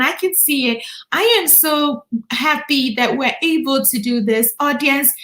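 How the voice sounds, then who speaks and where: A woman's voice: high-pitched, fairly bright tone, roomy sound. A woman with a Ghanaian accent hosting a panel chat over a video call, talking in an earnest, personal way. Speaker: host.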